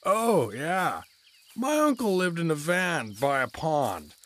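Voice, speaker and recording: A man's voice: fairly high pitch, very animated, slow, a bit bright, heavily acted. A man playing a drunk, good-natured, bumbling dad adventurer in an audio drama, rambling and stumbling over words. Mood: tipsy.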